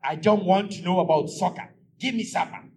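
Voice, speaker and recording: A man voicing a tired, grumpy husband who curtly demands his supper, in a husky voice. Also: sits high, moderate pace, close to an everyday voice. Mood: demanding. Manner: curt, demanding.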